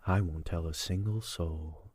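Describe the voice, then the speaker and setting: A self-assured royal guard for an audio drama, teasing and flirtatious. His voice is deep and husky, with a dry, close sound. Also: fairly even intonation, unhurried, darkish tone, no room sound. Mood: flirty, teasing.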